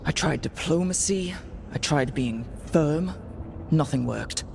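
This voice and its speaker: A man's voice: a little high, animated, slow pace, creaky, background noise, heavily acted. A man playing an earnest young elven adventurer in a fantasy game, speaking plainly and directly. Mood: urgent, frustrated. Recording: music under the voice.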